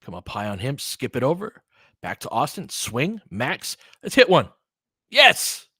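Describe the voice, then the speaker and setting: A man's voice: medium pitch, wildly swooping pitch, measured pace, sharp tone, slightly rough, no room sound, clean studio sound. A man giving live basketball play-by-play mixed with casual, long-winded analysis in a conversational, explanatory way.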